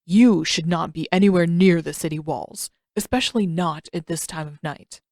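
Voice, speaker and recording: A woman's voice: fairly low pitch, moderate pace, darkish tone, dry recording, studio quality, natural voice. A woman voicing a stern, suspicious old man who speaks formally and stiffly in an audiobook-style story. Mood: stern, disapproving.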